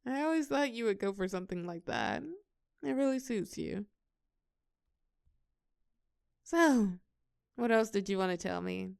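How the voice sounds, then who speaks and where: A young woman acting a shy, awkward, gently teasing birthday girl in a scripted audio roleplay, with a halting, expressive, conversational delivery. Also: mid-range voice, gravelly, clean tone, dry recording, clean studio sound. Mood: curious, touched. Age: young adult. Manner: bashful, halting, giggly, expressive.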